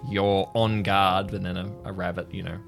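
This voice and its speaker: A man's voice: low-pitched, little pitch movement, normal speed, creaky. A man running an improvised audio drama game, narrating scenes and bantering with players. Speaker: game master. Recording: music under the voice. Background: music.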